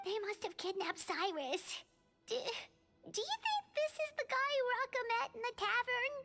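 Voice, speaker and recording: A woman voicing a tiny, childlike, timid fairy companion in an anime-style game, with a squeaky, exclamatory delivery. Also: very animated, a little slow, over music, full character voice. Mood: scared. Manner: refers to herself by name, exclamatory.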